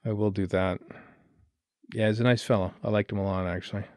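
A man with a lowish voice talks casually as a podcast co-host. He rambles and thinks aloud through his commentary, recorded close and dry without room sound. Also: fairly even intonation, fairly quick, darkish tone, no room sound. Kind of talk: casual film commentary chat.

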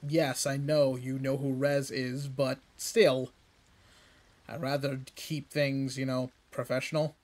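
Man playing a flat-toned, guarded hireling. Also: mid-range voice, slow pace, a little hiss or hum, lightly acted. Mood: guarded, weary. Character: reserved male hireling, politely keeping things professional. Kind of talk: scripted audio roleplay acting.

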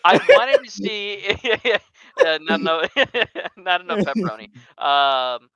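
A man's voice: high voice, wildly swooping pitch, slow, a bit bright, dry recording. A young man chatting casually in group banter, joking at his own expense, on a headset. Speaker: podcast panelist. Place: dim bedroom gaming setup, indoors. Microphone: headset on an online call. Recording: slightly dull online call audio.

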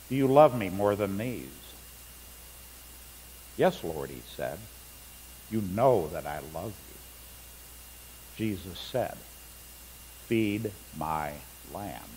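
A man's voice: on the low side, darkish tone. A middle-aged male pastor preaching a sermon in a church sanctuary, reading scripture aloud with rising emphasis. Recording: long church reverb, faint hum.

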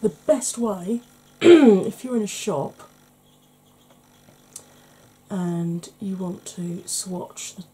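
A middle-aged woman with a Welsh accent giving an ASMR makeup tutorial at home, talking softly and often in a whisper, chatty with a dry sarcastic streak. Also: lowish voice, a little hiss or hum. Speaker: beauty YouTuber. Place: indoors at home, face in close-up.

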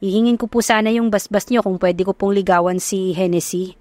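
A woman voicing a sincere, soft-spoken young man courting in an audio romance, with a measured manner and Filipino accent. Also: mid-range voice, steady tone, no room sound, natural voice.

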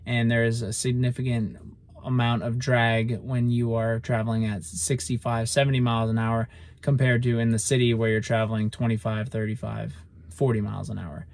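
A man's voice: medium pitch, flat delivery, moderate pace, a little mellow, no echo. A young man vlogging from inside a parked car, explaining things in a matter-of-fact way to a phone camera held at arm's length. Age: young adult. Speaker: vlog host. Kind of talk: casual vlog talk.

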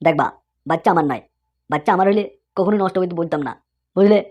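A woman's voice: lowish voice, fast talker, a little mellow, close to an everyday voice. A woman voicing a hot-tempered, exasperated young cartoon husband who scolds and blusters. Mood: accusing. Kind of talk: comic cartoon dialogue. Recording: dull lo-fi sound.

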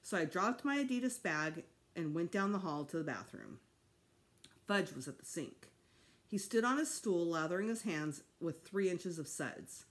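A woman's voice: lowish voice, expressive, normal speed. A middle-aged woman reading a children's storybook aloud expressively at home, like a teacher. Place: small room at home.

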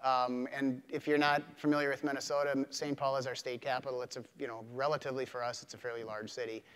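A man's voice: medium pitch, level tone, fast, fairly bright tone, smooth. American police chief, male, middle-aged, casual emcee storytelling, medium register, hall reverb. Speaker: police chief, panel host. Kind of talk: casual anecdotal introduction to an audience. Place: stage of an auditorium.

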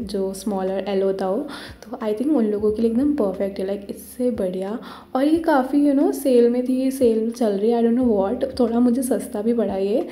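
A young woman with an Indian English accent, chatting casually as a vlogger over a day-in-my-life voice-over, giggly and relaxed. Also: medium pitch. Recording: voice-over with loud background music.

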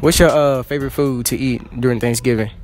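A man's voice: mid-range voice, fairly even intonation, quick pace. A teenage boy hosting casual school hallway interviews, joking and teasing in slang, holding a phone as a mic. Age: teens. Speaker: student interviewer, channel host. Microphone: handheld phone mic.

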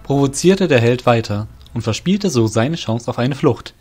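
A man's voice: medium pitch, lively intonation, moderate pace, darkish tone, faint music behind. A man narrating a scripted fantasy audio drama in a literary storytelling manner.

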